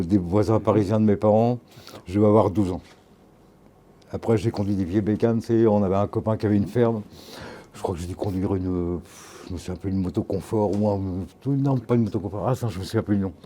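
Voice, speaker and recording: An older man from Normandy, in his sixties, talks in a low, dark voice. He rambles through anecdotes in loose slang, restarting and circling back as he goes. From Normandy, France. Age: 60s. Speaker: tattoo artist and photographer. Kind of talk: casual interview, storytelling.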